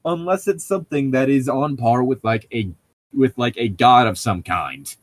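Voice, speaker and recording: A man with an average-pitched voice chats casually as a podcast co-host. He rambles and digresses, tossing in profanity as he comments on what he reads. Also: expressive, moderate pace, no room sound. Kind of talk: casual chat and commentary while reading a novel aloud.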